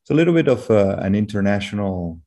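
A middle-aged man with a Peruvian accent speaks as an interview guest. His voice is low and mellow with a strong creak. He explains things conversationally and haltingly, often restarting phrases. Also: expressive. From Peru. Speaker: interview guest, company executive.

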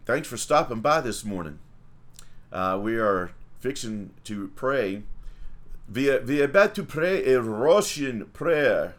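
A priest speaks in a low, unhurried voice. He leads morning prayer on a livestream, chatting in a folksy way and greeting viewers by name.

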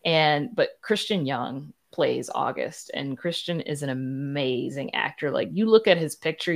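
A woman with a distinctly low voice talks as a guest on a casual interview podcast. She is candid and enthusiastic, speaking conversationally and effusively. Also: gravelly. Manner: enthusiastic, effusive about colleagues. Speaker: podcast guest, writer and producer.

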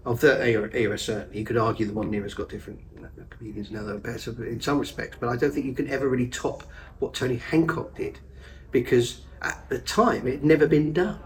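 A man, a stage actor, talking eagerly and quickly about his show in an interview. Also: medium pitch, fairly even intonation, a little hiss or hum. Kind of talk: interview chat. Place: theatre above a pub. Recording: distant, off-mic.

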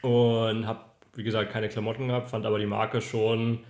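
A man's voice: on the low side, little pitch movement, normal speed, darkish tone. A man from Berlin chatting casually as a guest, telling his own story. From Berlin, Germany. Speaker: podcast guest, industrial designer. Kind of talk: casual chat, personal storytelling. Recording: roomy, echoing room.

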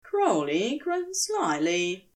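A woman reads scripted fiction in audiobook style. Her mid-pitched voice moves at a slow, measured pace, with lively, varied intonation.

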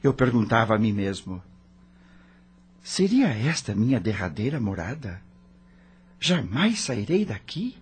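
A man's voice: fairly low pitch, big pitch swings, measured pace, pauses often, slightly rough, exaggerated voice. An actor playing an anguished dead male rock star, narrating his own story in a theatrical, brooding radio drama style. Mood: anguished, defiant.

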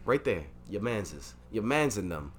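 Low-voiced young man, teasing podcast banter. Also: normal speed, slight background noise. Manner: teasing, argumentative, gets loud and excited arguing sports. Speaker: podcast co-host. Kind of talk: casual chat.

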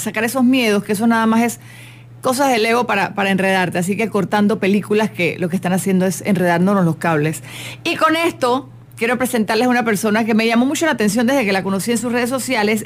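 A woman hosts a radio talk show in a chatty, expressive manner, full of quick asides. Her voice sits a little high, and she speaks into a studio microphone. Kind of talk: casual motivational radio talk.

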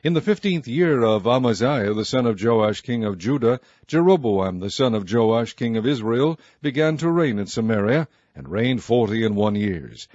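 A man reading scripture aloud in a measured, formal way. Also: medium pitch, sing-song, a little mellow, no room sound, dull audio. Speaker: Bible reader.

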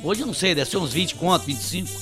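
A Brazilian man co-hosts a podcast chat and keeps cutting in with quick jokes. He talks fast in a high, bright, sharp voice. Faint music runs in the background. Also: lively intonation. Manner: quick, joking interjections. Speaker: podcast co-host.

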